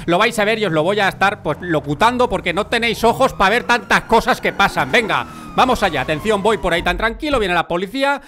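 A man with a Spain Spanish accent giving animated, colloquial, joking commentary on gameplay as a video creator. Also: sits high, fairly quick, a little hiss or hum.

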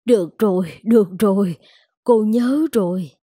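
A woman's voice: medium pitch, unhurried, dark tone, slightly rough, no room sound, studio quality. A woman playing a doting, humble elderly housekeeper in a cartoon, with a coaxing manner. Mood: resigned. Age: older. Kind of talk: scripted cartoon dialogue.

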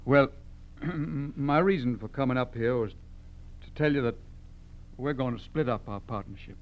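Nervous, stammering crook played by an actor. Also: male voice, medium pitch, measured pace, natural voice. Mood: nervous, reluctant. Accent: American. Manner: hesitant, stammering. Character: nervous, reluctant male garage owner and crime accomplice. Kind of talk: 1940s radio acting. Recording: dull, humming old radio recording.

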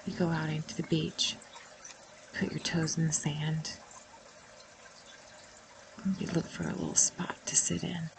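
A woman guiding a meditation in a soothing, unhurried way, with long pauses and breathy phrases that trail toward a whisper. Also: deep voice, little pitch movement, rough recording. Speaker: guided meditation host. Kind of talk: guided meditation narration.

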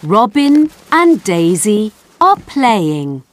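Very slow woman reading a children's story. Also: mid-range voice, very animated, no room sound. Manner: very slow, clear, sing-song reading.

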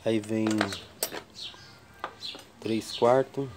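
A man from Brazil hosting a casual tool vlog at his workshop bench, slowly counting wrench sizes and chatting to viewers. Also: fairly low pitch, steady tone, very noisy. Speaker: tool channel host. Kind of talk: casual show-and-tell vlog.

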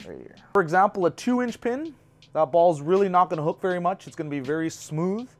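Man explaining, somewhat high voice. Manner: relaxed, practical, personal asides. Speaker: pro shop instructor. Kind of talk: casual how-to explanation. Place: bowling pro shop indoors.

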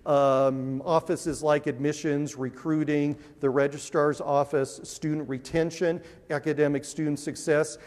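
A man in his forties delivers a conference welcome from an auditorium stage, his somewhat high voice carrying a long hall reverb. He sounds earnest and upbeat, often stumbling through fillers and restarts. A lapel mic picks him up on the big stage. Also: level tone, a little slow, hardly any pauses, darkish tone. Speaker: university administrator giving welcoming remarks. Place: large dark auditorium stage.

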